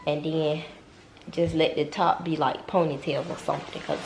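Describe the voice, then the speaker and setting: Gravelly Southern mom vlogging at home. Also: female voice, deep voice, steady tone, normal speed, slight background noise, low-quality recording. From North Carolina. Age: young adult. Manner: rambling, repeats herself, talks to viewers as y'all. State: stuffy nose. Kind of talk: casual vlog chat. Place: home kitchen.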